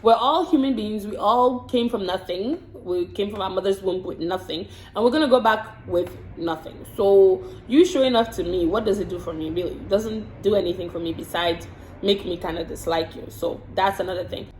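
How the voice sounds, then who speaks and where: A woman's voice: medium pitch, moderate pace, darkish tone. Woman, from the Congo, casual vlog Q&A, frank and chatty, repeats words while thinking, echoey room, faint hiss. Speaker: vlogger and hair and makeup artist. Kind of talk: casual get-to-know-me Q&A, reading out questions and answering. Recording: roomy echo with faint background hiss.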